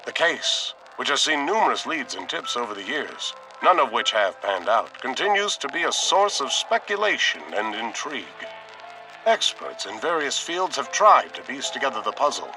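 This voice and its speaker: Man acting as a portentous, animated mystery show presenter. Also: mid-range voice, far from the mic, natural voice, low-quality recording. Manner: portentous, formal. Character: dramatic male presenter of a mystery documentary show. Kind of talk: scripted true-mystery broadcast narration. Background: music.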